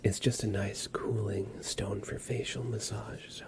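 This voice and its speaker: A man whispering softly and soothingly as a reassuring doctor in an ASMR exam roleplay. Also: on the low side, flat delivery, measured pace. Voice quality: whispered, breathy soft voice. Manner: gentle, soothing, reassuring instructions. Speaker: ASMR performer playing a doctor.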